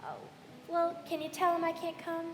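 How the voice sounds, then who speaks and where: A woman's voice: very high-pitched, monotone, normal speed. A woman playing a fragile young society belle in a live radio play, girlish and exclaiming, in an echoey hall with music behind. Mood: sweet, girlish. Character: popular, fragile eighteen-year-old Southern society belle.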